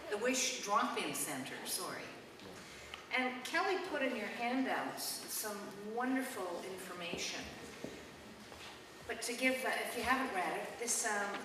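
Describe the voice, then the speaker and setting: A woman's voice: medium pitch, animated, unhurried. Hesitant woman in echoey hall. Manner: hesitant, with many ums and restarts. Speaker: church member introducing the speaker. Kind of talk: informal introduction at a church service. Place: church hall. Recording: echoey church hall, mic at a distance, noisy.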